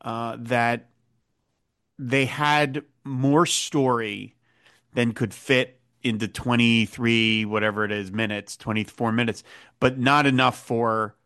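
A man's voice: medium pitch, steady tone, slow pace, fairly bright tone, clean tone. Thoughtful male podcast host. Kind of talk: episode recap and casual chat.

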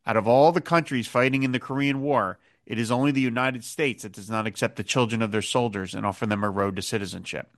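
A man's voice: mid-range voice, a bit bright. A man hosting a podcast who recaps an episode and chats thoughtfully with many hesitations. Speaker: podcast host. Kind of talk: episode recap and casual chat.

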